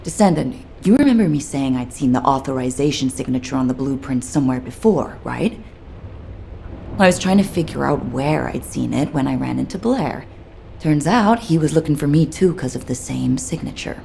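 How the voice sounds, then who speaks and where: A woman's voice: a bass voice, animated, normal speed, creaky, dark tone, a little hiss or hum, heavily acted. A woman playing a brash, down-to-earth soldier-mechanic in a video game, talking in a direct, colloquial way. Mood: confiding, businesslike. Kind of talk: video game character acting.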